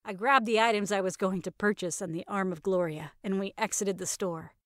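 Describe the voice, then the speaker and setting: A woman narrating scripted audio fiction and podcast credits with an expressive, wry storytelling manner. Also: medium pitch, fairly quick, no room sound. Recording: dry recording with music behind. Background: music.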